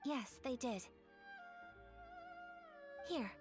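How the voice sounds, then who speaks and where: A refined young noblewoman in a video game. The high voice is gentle and earnest, with polite, measured, formal phrasing. Music plays clearly behind. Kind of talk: scripted game voice acting.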